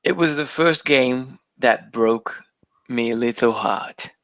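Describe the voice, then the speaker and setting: A man's voice: medium pitch, slow pace, fairly bright tone, no room sound, muffled recording. A man co-hosting a casual, bantering podcast, talking theatrically and keeping up a put-on accent. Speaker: podcast co-host. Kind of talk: casual banter about TV and video games.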